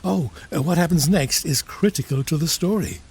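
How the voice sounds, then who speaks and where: A man's voice: fairly high pitch, moderate pace, a little mellow, a little hiss or hum, natural voice. A man playing an all-knowing, slightly bumbling narrator of a comedic audio drama. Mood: wry. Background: music and rain.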